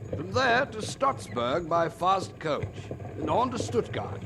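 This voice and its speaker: A man's voice: high-pitched, sing-song, takes it slowly, creaky, background noise. A man narrating a scripted story for an audio drama in a storytelling style. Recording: music behind the voice. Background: music.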